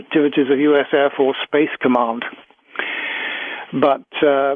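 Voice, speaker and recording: Halting man on a tinny phone line. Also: a little high, dry recording. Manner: halting, repeats words, earnest. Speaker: interview guest, author and researcher. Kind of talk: conversational radio interview. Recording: tinny, narrow phone-line radio audio.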